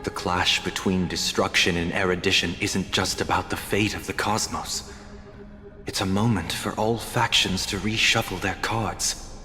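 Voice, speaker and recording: An actor playing a solemn, worldly strategist in a scripted space-saga game cutscene. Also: male voice, low voice, steady tone, unhurried, sharp tone. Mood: grave. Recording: hall reverb, faint music underneath.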